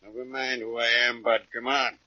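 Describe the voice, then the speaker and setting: Forceful, blunt tough-guy detective. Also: mid-range voice, flat delivery, brisk, no echo. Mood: threatening. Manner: slangy tough-guy talk. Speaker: actor in radio drama. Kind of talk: 1940s radio acting. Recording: dull old radio recording.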